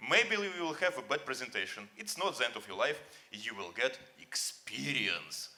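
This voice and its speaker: A man's voice: medium pitch, very animated, moderate pace, sharp tone. A man on a conference panel whose first language is Russian, speaking earnestly and encouragingly in slightly broken English. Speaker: panelist, company founder. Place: conference hall stage.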